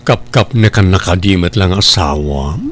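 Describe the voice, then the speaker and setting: A man's voice: sits low, expressive, moderate pace. A man voicing a shy, tender tomboy bride in an audio comedy drama.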